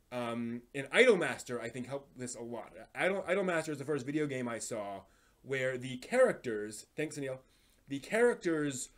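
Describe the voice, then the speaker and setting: A man hosting a casual live stream about anime, thinking aloud and rambling off on tangents as he chats with viewers. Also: medium pitch.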